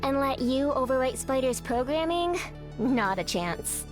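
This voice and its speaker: A high, youthful girl mech pilot from a video game. She is cheeky and sceptical, with a teasing, sassy delivery. Music plays clearly behind her. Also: expressive, measured pace. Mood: defiant.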